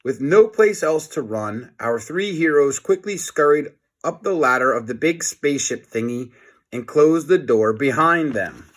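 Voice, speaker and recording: A man's voice: a little high, expressive, a little slow. A man reading a children's story aloud, with casual asides in the manner of a teacher.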